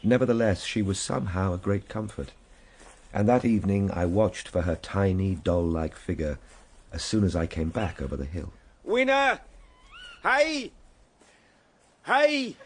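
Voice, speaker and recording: Earnest gentleman explorer, low voice, lively swings of pitch, reflective, tender, radio drama storytelling, noisy background. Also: exaggerated voice. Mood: tender, cheerful. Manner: measured storytelling alternating with simple coaxing phrases. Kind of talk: radio drama narration and acting.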